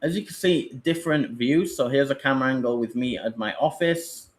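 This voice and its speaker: A man's voice: mid-range voice, fairly quick. A British man leading a live online training session for teachers, explaining in a loose, rambling way.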